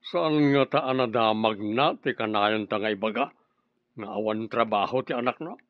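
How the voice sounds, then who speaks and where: A man's voice: lowish voice, normal speed, no room sound, highs cut off, close to an everyday voice. An Ilocano-accented man playing an easygoing family man in a radio drama. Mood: exasperated.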